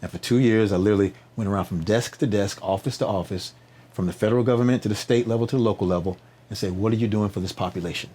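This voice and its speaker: A man's voice: lowish voice, quick pace, mellow. A man speaking as a podcast guest, telling stories conversationally with preacher-like emphatic repetition, rhetorical questions and frequent check-in tags. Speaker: podcast guest, nonprofit founder. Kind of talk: animated conversational storytelling, interview.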